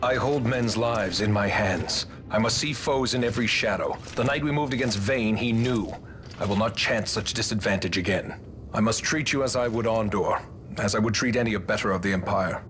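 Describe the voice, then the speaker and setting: Man playing a stern, low-voiced rebel leader. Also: music in the background, close to an everyday voice. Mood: grave, distrustful. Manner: formal, measured. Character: stern, wary male resistance leader, grave. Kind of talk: video game drama acting.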